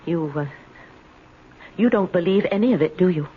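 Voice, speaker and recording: A muffled, hissy old radio recording carries an actress as a lonely, fragile woman with a lively pitch. She speaks in nervous, halting phrases, wistful and unsure, repeating her words as in a 1970s radio play. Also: natural voice. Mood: uneasy, pleading. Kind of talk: 1970s radio drama acting.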